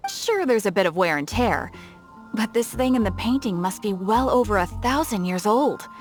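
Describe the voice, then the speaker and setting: A woman's voice: mid-range voice, sing-song, normal speed, natural voice. A woman voicing an eager, effusive female souvenir shopkeeper in a video game. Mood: friendly. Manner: bubbly, effusive saleswoman. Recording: faint game music behind.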